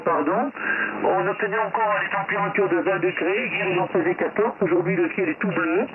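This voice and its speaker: A man giving casual technical chat as an amateur radio operator. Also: sits high. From Belgium. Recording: narrow tinny radio link with clear static.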